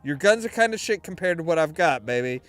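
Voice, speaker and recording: A man's voice: fairly high pitch, expressive, quick pace, a bit bright, faint music behind. A man giving casual, slangy, sweary commentary while playing a video game. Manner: profane, slangy.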